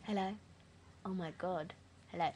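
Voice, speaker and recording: A teenage girl chatting on a laptop webcam in her bedroom with a friend, giggly, with loud outbursts and a put-on lisp. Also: fairly low pitch, steady tone, normal speed, slight background noise. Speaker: vlogger. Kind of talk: casual webcam chat with a friend. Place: bedroom at night.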